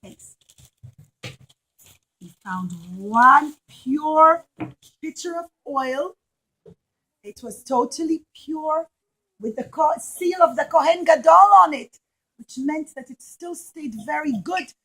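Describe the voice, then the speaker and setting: A woman telling a story to young children in an animated, emphatic way, repeating phrases for them. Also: high-pitched, slow, long pauses, poor audio. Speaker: children's storyteller and puppet show presenter.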